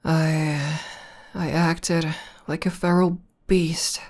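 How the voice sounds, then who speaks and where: Tomboy demon girlfriend for ASMR roleplay, female performer: low, even, soft and hesitant, shy but devoted. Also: drawn-out words, exaggerated voice. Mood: embarrassed, shy. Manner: soft, hesitant, bashful, breathy dips into whisper.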